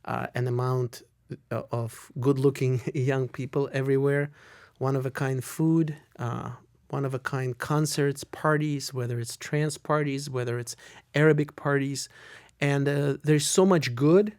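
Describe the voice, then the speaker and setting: A middle-aged man from Russia and Israel, with Russian as his first language, speaks as an interview guest in a very dry, close recording. His voice has an average pitch, and he makes opinionated points by repeating phrases for emphasis. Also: steady tone, a little slow, darkish tone, no room sound. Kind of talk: conversational interview answers.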